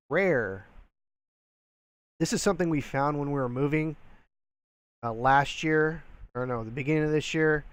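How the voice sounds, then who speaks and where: A man in his thirties with a slightly nasal voice from a cold, casually talking about his resale items into a headset mic at home, rambling with many fillers. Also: medium pitch. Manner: rambling, filler-heavy, friendly. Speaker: reseller vlogger. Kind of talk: casual talking-head vlog. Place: small room at home, indoors. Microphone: gaming headset with boom mic.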